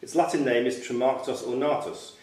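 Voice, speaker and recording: Quizmaster in a roomy, distant recording. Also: male voice, medium pitch, fairly even intonation, brisk, a little mellow. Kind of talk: reading quiz questions aloud at a live event. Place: function room at a club quiz night. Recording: roomy, distant mic, faint noise, clicks.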